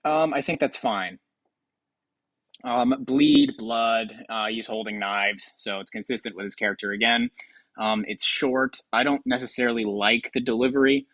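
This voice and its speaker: A man gives casual gaming commentary as a video host. He sounds close and dry with a mid-range voice, hesitating and rambling as he thinks out loud. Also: medium pitch, normal speed, no room sound.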